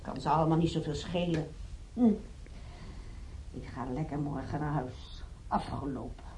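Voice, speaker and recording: A woman's voice: low voice, normal speed, warm tone, slight background noise, lightly acted. An actress playing a gossipy, disapproving woman patient in a radio play, opinionated and matter-of-fact in her delivery. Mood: dismissive. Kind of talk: naturalistic radio drama dialogue.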